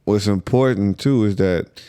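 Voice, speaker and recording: A man's voice: lowish voice, a little slow, warm tone, clean tone, no room sound. A man with an American accent, chatting casually as a podcast host in an informal, colloquial, musing way. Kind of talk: casual conversation.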